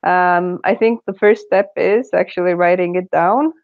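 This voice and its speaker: A woman's voice: on the low side, fairly even intonation, measured pace, darkish tone, dry recording. Woman, hesitant panelist; thin phone-like call audio, dry. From Estonia. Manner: hesitant, many fillers and restarts. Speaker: panelist in an online meetup. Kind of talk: casual discussion. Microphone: video call.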